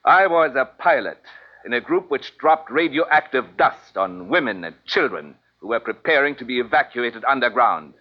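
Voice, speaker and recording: An actor playing a defiant enemy prisoner, reciting in a stiff, declamatory, formal manner in a 1950s radio drama. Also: male voice, medium pitch, very animated, moderate pace. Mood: stiff, hateful. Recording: muffled vintage radio broadcast.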